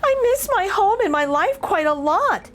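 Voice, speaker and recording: Woman voicing a high, tender little boy mouse. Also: very animated, normal speed. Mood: sad, regretful. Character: small naive young male mouse, tender, hopeful, pleading.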